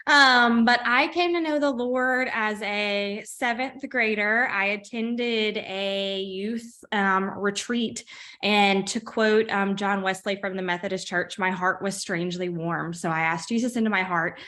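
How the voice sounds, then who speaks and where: A woman's voice: mid-range voice, unhurried, pushed and bright. A woman in her thirties from Mississippi with a Southern accent, casually sharing her personal story as a co-host. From Mississippi, United States. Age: 30s. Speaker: co-host of a women's Bible reading group. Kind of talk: casual personal testimony in conversation.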